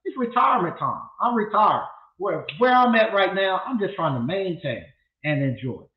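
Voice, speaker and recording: A man's voice: high-pitched, sing-song, normal speed. Blunt rambling man in his fifties. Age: 50s. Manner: loose, rambling and blunt, with repeated restarts. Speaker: appliance repair instructor and channel host. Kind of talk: casual livestream talk. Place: small home studio with green walls. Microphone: desk mic on a boom arm. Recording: echoey room, tinny phone-like sound.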